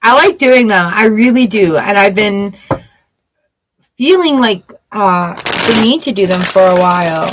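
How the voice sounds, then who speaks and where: A woman's voice: mid-range voice, lively intonation, a little slow, low-quality recording. A woman doing a casual tarot reading, reading card texts aloud and breaking off into playful asides. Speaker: tarot reader.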